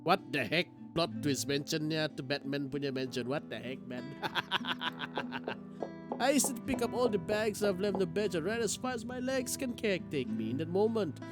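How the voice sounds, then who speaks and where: A man with a high voice streams a visual novel. He reads the text aloud dramatically and reacts in a casual way. Guitar music from the game plays clearly behind him. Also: lively intonation, normal speed.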